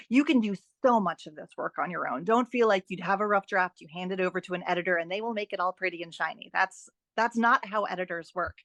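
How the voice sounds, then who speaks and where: A woman guest in a conversational interview, giving advice in an animated, emphatic and encouraging way with playful asides. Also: medium pitch, no room sound. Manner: animated, emphatic, repeats phrases for stress. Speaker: podcast guest, writing and editing expert.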